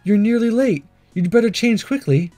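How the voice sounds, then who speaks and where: A man voicing a shy, earnest, studious teenage schoolgirl with a soft, hesitant manner, in a visual novel. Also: high-pitched, expressive, moderate pace, faint music behind, voice pushed up. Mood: gently urging. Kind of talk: visual novel dialogue read-along.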